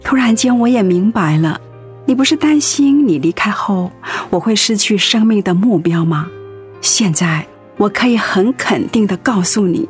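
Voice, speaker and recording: A woman playing a gentle, devoted widow in a radio drama, speaking earnestly and tenderly. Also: medium pitch, close to an everyday voice. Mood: moved, resolute. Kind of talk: Mandarin radio drama acting.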